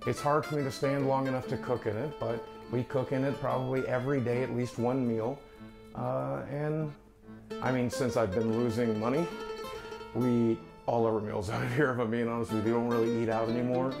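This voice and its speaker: A man's voice: medium pitch, level tone, fairly quick, creaky. Candid middle-aged man over background music. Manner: candid and self-deprecating. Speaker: online video creator giving a house tour. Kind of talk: casual talk to camera, walking through a house. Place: rooms of a house, indoors. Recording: music playing behind the voice.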